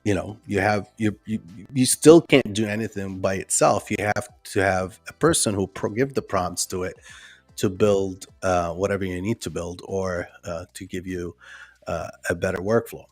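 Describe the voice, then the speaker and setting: A man's voice: deep voice, moderate pace, no echo, soft background music. A man whose first language is not English presents a live online workshop in a loose, conversational way, with many ums and restarts, and reads out viewer comments.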